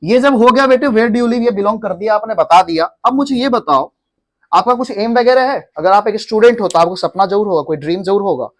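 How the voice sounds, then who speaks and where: A man teaching English in to a class, repeating each phrase slowly for students to echo. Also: sits high. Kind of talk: classroom English lesson in Hindi.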